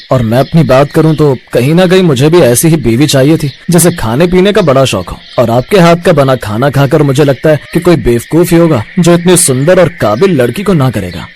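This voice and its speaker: A man's voice: medium pitch, a little hiss or hum, close to an everyday voice. A man voicing a kindly, food-loving young groom in a cartoon, polite and reassuring. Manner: polite, gentle, earnest.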